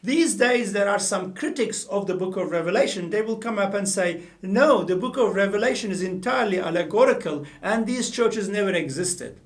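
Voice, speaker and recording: A man's voice: high-pitched, lively intonation, normal speed, few pauses, far from the mic. A middle-aged man from Turkey with a Turkish accent, teaching the Bible earnestly to the camera from a small studio room, often repeating and correcting himself. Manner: earnest, gestures with both hands, repeats and self-corrects.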